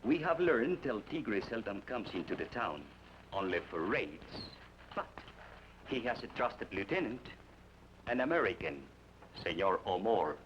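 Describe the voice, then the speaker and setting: A grave, formal official, measured and lively in pitch. He lays out matters with stiff courtesy in an old radio western. The broadcast sounds dull and lo-fi with faint hiss. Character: grave Mexican official, formal middle-aged man. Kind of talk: 1940s radio acting.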